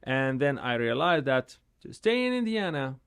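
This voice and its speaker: A man in his thirties, a real estate agent whose first language is Arabic, telling his story in a casual interview in halting, repetitive English that livens up when he tells a story. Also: medium pitch, a crowd in the background. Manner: halting, repeats words, animated when telling stories.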